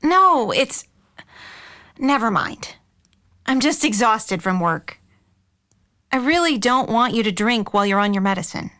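A woman's voice: on the high side, animated, unhurried, pauses often, sharp tone. A woman playing a caring but exasperated middle-aged mother and aunt in an audio drama, earnest and firm. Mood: weary, concerned.

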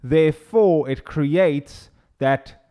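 A man's voice: on the high side, very slow, dark tone, smooth. A man whose first language is Afrikaans, a chiropractor guest explaining his methods eagerly in a casual podcast interview.

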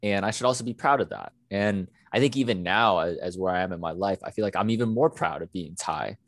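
A man with a notably deep, low-pitched voice, recorded dry with no room sound. He co-hosts a relaxed podcast chat. He speaks earnestly and reflectively, with plenty of "you know" fillers. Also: a little fast, no room sound. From United States. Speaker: podcast co-host. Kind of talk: casual podcast conversation.

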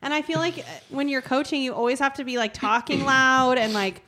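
A woman's voice: sits high, steady tone, fairly quick, bright, forceful tone. A woman in her thirties, a gym coach co-hosting a podcast, chatting casually in a teasing way and laughing easily. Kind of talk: casual chat.

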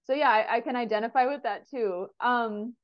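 Female host, American, high-pitched, friendly chat, lo-fi recording. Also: normal speed. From United States. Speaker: interviewer. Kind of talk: casual conversation.

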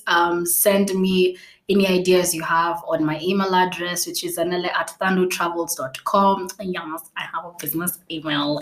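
A young woman whose native language is Swahili, hosting a casual solo podcast, chatty and playful with frequent laughs, fillers and restarts. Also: lowish voice. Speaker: podcast host.

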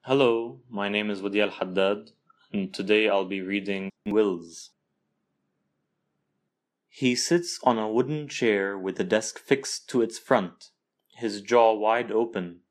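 A man with a fairly low voice reads literary fiction aloud. He is an author from Lebanon, narrating his own scripted story. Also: slow pace.

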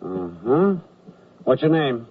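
An actor playing a belligerent, suspicious army gate guard with a barking, clipped delivery in old-time radio comedy. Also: male voice, medium pitch, sing-song, very slow, heavily acted. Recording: tinny, slightly noisy vintage radio recording.

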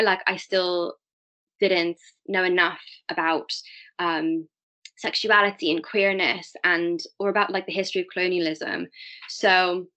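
Young woman from Malta, guest on an interview show: bright, forceful voice pitched a little low, hesitant delivery full of "um"s and restarts. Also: dull audio. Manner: hesitant, many fillers and restarts. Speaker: guest, diversity and inclusion manager. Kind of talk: casual interview talk.

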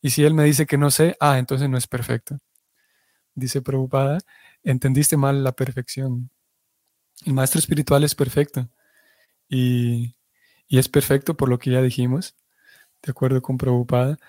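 A man giving a religious class, explaining things in a measured way and often restarting his sentences as he searches for words. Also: mid-range voice, little pitch movement, darkish tone, dry recording, clean studio sound. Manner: explanatory, restarts and self-corrections. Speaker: devotional teacher.

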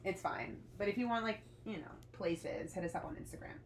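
A woman's voice: mid-range voice, fairly quick, echoey room, a little hiss or hum. A young American woman co-hosting a podcast, chatting casually in a talkative, teasing way. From United States. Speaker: podcast co-host. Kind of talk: casual chat.

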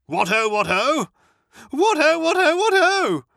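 A reader voicing a giddy young male aristocrat, breathless and exuberant, in a high, chirpy voice. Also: very animated, moderate pace, bright, forceful tone, no room sound, clean studio sound.

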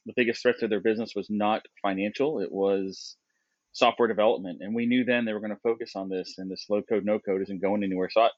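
A man's voice: lowish voice, fairly even intonation, fast, no echo, muffled recording. A man co-hosting a tech podcast who speaks in an enthusiastic, opinionated way and often says "you know". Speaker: podcast co-host. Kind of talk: casual tech podcast chat.

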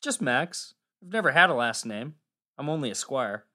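A man's voice: medium pitch, very animated, moderate pace, bright, forceful tone. A man voicing an earnest, unlucky young squire in a storybook, with a hesitant, glum manner. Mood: friendly. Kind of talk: audiobook character acting.

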